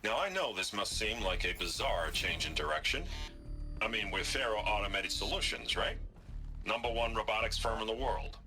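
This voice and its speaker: A man's voice: lowish voice, expressive, moderate pace, bright, forceful tone, soft background music. A man playing a brash, demanding middle-aged company boss in a video game, speaking pushily and emphatically. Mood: smug persuasive. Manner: pushy, self-assured, emphatic.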